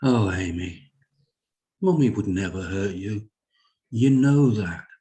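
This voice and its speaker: A man playing a gentle, intelligent doctor and father in a dramatic script reading, speaking in a soothing, reassuring manner. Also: lowish voice, lively intonation, takes it slowly. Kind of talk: dramatic script table read.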